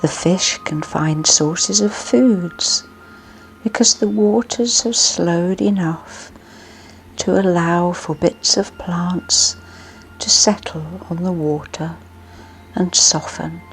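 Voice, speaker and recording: A woman narrating a scripted guided sleep meditation in a soothing, hushed manner with long pauses. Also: on the low side, poor audio. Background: music.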